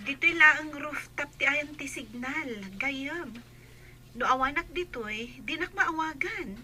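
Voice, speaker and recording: A woman's voice: high voice, slow, sharp tone, exaggerated voice. A woman playing an earnest young nanny talking to a friend in a radio drama. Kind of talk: Ilocano radio drama acting.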